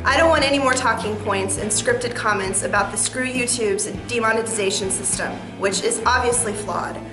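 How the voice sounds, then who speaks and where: Casting: woman as indignant small creator in sketch comedy, high-pitched, demanding, over clear music. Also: normal speed, close to an everyday voice. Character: angry demanding female small video creator protesting. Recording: echoey room, clear music behind.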